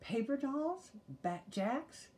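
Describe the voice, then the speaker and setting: A woman's voice: high voice, slow pace, pitched above the performer's own voice, full character voice. A woman voicing an eager, insistent young boy bear from a picture book. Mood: protesting. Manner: excitable, insistent.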